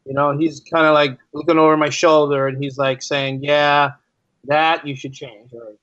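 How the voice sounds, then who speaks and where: A man chatting casually as a podcast guest, restating his phrases for emphasis in an animated way. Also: on the high side, normal speed, dry recording, lo-fi sound. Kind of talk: casual interview chat.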